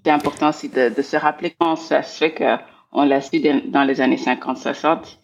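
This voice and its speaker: Gravelly, husky woman in her forties. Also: sits low, steady tone, moderate pace, lo-fi sound. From Belgium. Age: 40s. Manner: thoughtful, repeats words while searching for phrasing. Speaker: guest, scholar of international relations. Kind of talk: reflective interview talk.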